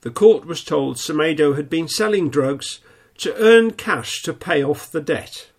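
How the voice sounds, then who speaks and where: A man reading local news in a plain, steady way, a little snuffly with a stuffy nose. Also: a little high, a little slow, slightly rough. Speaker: newsreader.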